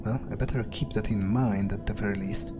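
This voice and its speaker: A man's voice: fairly low pitch, steady tone, fairly quick, natural voice. A man roleplaying an irritable, foul-mouthed male vampire in a video game, musing aloud in a hesitant way. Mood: musing, wary. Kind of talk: in-character roleplay commentary over gameplay. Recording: music behind the voice. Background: game music.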